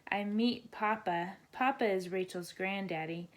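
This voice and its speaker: A woman's voice: medium pitch, a little slow. Woman reading a children's bedtime story. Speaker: storybook reader.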